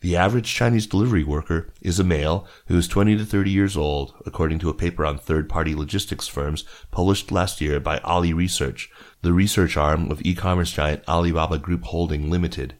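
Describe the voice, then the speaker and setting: A man with a low-pitched voice narrates business news from a script. He works as a reader for a news podcast, delivering written stories aloud. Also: a little fast.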